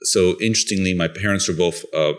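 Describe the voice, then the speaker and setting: Deep-voiced man telling his story. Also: fast. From Bulgaria. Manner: conversational storyteller with restarts. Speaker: podcast co-host. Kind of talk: casual podcast chat.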